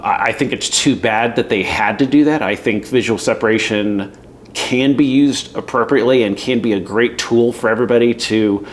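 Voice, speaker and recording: A man giving casual, opinionated commentary in a conversational, emphatic way. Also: on the low side. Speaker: commentator reacting to a news segment.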